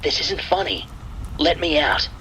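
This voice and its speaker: A man's voice: fairly high pitch, expressive, measured pace, bright, forceful tone, slight background noise, voice pushed up, rough recording. A man voicing a frightened fourteen-year-old boy who protests and pleads, in a spooky radio-style story. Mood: panicked pleading.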